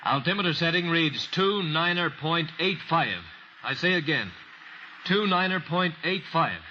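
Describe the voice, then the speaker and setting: Muffled, hissy old radio: earnest young American operator. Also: male voice, a little high, expressive, unhurried. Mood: focused. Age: 20s. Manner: earnest, pleading, clipped radio procedure. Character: earnest, anxious young airfield radio operator, aged twenty-five. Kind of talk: old-time radio drama acting. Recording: muffled old radio recording, heavy hiss.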